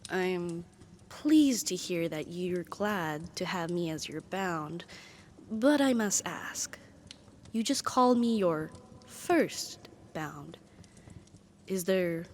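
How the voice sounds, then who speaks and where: A woman's voice: lowish voice, animated, takes it slowly, long pauses, a little mellow, slight background noise. A woman voicing a powerful female demon bound as a devoted, possessive servant, speaking formally and breathily in an ASMR roleplay. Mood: uneasy, confused. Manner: formal, stately, breathy sighs and whispers.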